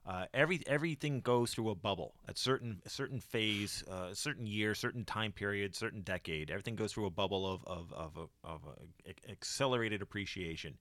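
A man's voice: fairly low pitch, a little fast, few pauses, fairly bright tone, no room sound. A man in his forties in casual podcast conversation, who repeats words and restarts while he searches for a phrase. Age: 40s. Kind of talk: casual chat.

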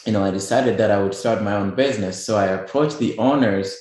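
A man's voice: on the low side, a little fast, a little mellow, very echoey. A middle-aged man from Kenya with a Kenyan accent, a guest in a radio interview, talking casually with many fillers and restarts. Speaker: guest business owner.

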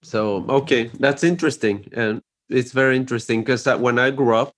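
A man's voice: mid-range voice, a little fast, fairly bright tone. A man from Sweden hosting an interview, asking curious, rambling questions peppered with "like" and "you know". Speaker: podcast host. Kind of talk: casual interview chat.

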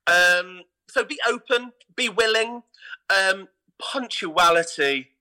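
A man's voice: sits high, takes it slowly, bright, forceful tone, low-quality recording. A British man chatting enthusiastically and casually as a theatre director being interviewed on a podcast.

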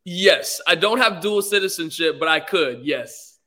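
A man's voice: fairly high pitch, expressive, unhurried, pushed and bright. A young man from England, a guest on a podcast, talking politely and easygoingly with many fillers. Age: young adult. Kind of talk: casual interview.

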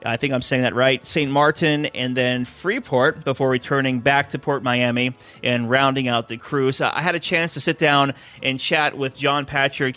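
A man's voice: medium pitch, a bit bright. A man hosting a cruise talk radio show, chatty and upbeat with frequent fillers, interviewing a guest.

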